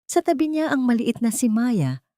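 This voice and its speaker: A woman telling a scripted drama story in a measured, earnest way. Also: fairly high pitch, sing-song, warm tone, dry recording.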